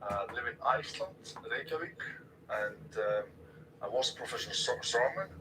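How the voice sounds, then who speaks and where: A man giving halting interview answers with fillers, heard from a video playing in the room. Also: mid-range voice, background noise. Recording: faint, distant, played through speakers.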